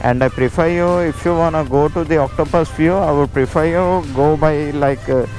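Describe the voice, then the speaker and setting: A man with an Indian accent giving a casual, halting travel vlog narration, often repeating his phrases as he talks. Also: on the high side, darkish tone, poor audio. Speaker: motovlogger. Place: outdoors on a motorbike road trip. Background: music.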